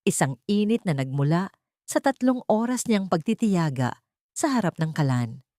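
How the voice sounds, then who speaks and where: A woman's voice: fairly low pitch, big pitch swings, moderate pace, mellow, no echo, clean studio sound. A woman narrating a scripted emotional story as a dramatic storyteller.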